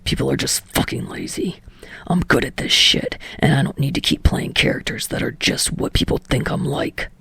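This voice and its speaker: A woman voicing an irritable, guarded young man in an audiobook story, with a low raspy growl and a terse, grumbling manner. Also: huge pitch swings, moderate pace, bright, forceful tone, dry recording, in a whisper, heavily acted. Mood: defensive. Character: gruff, irritable young male actor, foul-mouthed, guarded.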